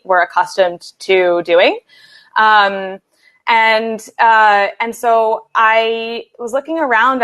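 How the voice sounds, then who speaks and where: A woman's voice: mid-range voice, fairly bright tone. Thirties American panelist, woman, thoughtful, full of ums, laptop mic on a video call. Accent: American, Philadelphia. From United States. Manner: reflective, many fillers and restarts. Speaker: panelist, venture investor. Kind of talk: casual panel discussion.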